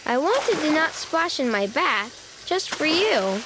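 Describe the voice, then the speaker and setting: A woman's voice: sits high, very animated, slow pace, noisy background, heavily acted. A woman voicing a sweet, eager little animal child who tells a storybook tale in a simple, earnest, childlike manner. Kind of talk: read-aloud children's storybook narration.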